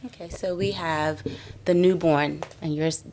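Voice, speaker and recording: A woman's voice: low-pitched, steady tone, measured pace, a little mellow, slight background noise. A woman speech-language pathologist explaining the early signs of speech delay as a podcast guest, walking through them with questions. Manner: walks through steps with questions.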